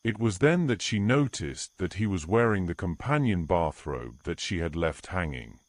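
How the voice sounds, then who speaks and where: A man narrating an audio drama in a slow, measured storytelling voice. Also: low voice, very animated, no echo. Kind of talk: scripted narration.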